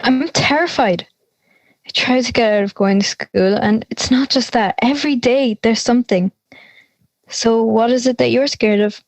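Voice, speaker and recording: A woman's voice: fairly high pitch, normal speed, dry recording, lightly acted, rough recording. A young woman acting an anxious schoolgirl in an audio drama, confiding in a nervy storytelling way. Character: anxious schoolgirl confiding her dreams and fears. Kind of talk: audio drama monologue and dialogue.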